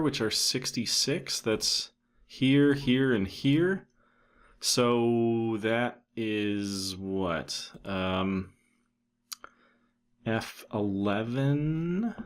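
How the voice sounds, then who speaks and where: A man in his thirties giving a casual, matter-of-fact software tutorial, thinking aloud as he goes. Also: medium pitch, drawn-out words, smooth. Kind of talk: casual screen-recorded tutorial narration.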